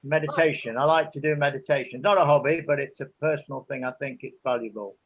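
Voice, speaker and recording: An older man from England answering interview questions in an unhurried, hesitant way, with many fillers. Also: medium pitch, husky, highs cut off.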